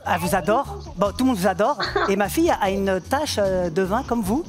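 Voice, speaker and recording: A man's voice: high-pitched, expressive, brisk, over music. A man taking part in a TV quiz, effusive and rambling as he chats with the host in a studio. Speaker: quiz contestant. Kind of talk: chatty contestant banter.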